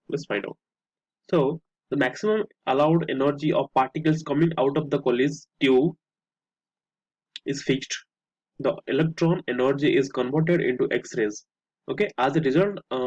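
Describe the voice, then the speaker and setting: A man with an Indian accent teaching as a tutor, working step by step through a problem in a halting way, repeating words. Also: medium pitch, darkish tone. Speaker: physics tutor. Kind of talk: step-by-step worked-problem lesson. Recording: muffled lo-fi, echoey room sound.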